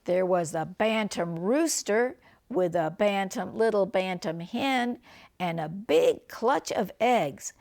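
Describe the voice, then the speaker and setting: Older woman reading aloud, slow and lively. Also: mid-range voice, gravelly. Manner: slow, deliberate phrasing that points out pictures to a child. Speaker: grandmother reading a picture book to children. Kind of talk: read-aloud storytelling with chatty asides and animal sounds.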